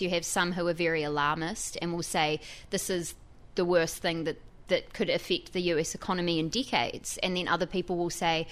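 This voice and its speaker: New Zealand woman reporter explaining. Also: lowish voice. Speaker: tech reporter. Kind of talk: radio interview guest.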